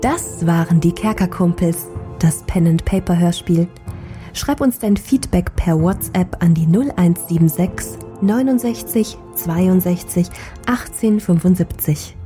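A woman with a lowish, mellow voice reads a scripted podcast outro as announcer. Soft music plays behind her. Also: measured pace.

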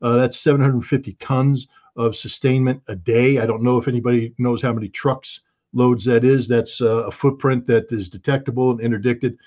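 A man's voice: mid-range voice, steady tone, normal speed, darkish tone. Man, political commentary, scornful, emphatic, muffled remote audio. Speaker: guest commentator.

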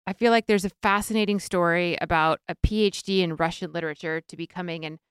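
A woman with a smooth, resonant voice hosts a podcast, reading scripted introductions in a polished, friendly way. The sound is close and dry, with no room around her. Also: on the low side, steady tone, fast, dry recording. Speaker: podcast host.

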